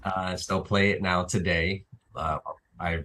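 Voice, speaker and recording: A man's voice: deep voice, level tone, slow, sharp tone. A man raised in Italy, guest on a talk show, talking hesitantly with many fillers. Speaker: actor and filmmaker guest. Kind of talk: interview.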